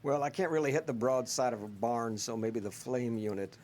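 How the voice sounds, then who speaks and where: A man's voice: medium pitch, brisk, darkish tone. A man playing a tabletop roleplaying game, chatting casually in character in a dry, wry manner. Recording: background music.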